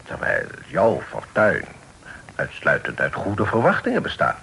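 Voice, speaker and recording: An actor playing a stern but fair middle-aged father in a period radio drama, speaking formally and in measured, admonishing phrases. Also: deep voice, wildly swooping pitch, vocal fry, pushed and bright, heavily acted. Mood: firm, cautioning. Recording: noisy old radio play recording.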